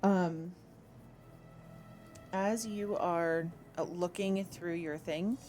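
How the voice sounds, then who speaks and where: A woman running a tabletop game, explaining rules and narrating scenes to players with frequent pauses. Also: on the low side, steady tone, darkish tone. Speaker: game master of an online tabletop roleplaying game. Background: music.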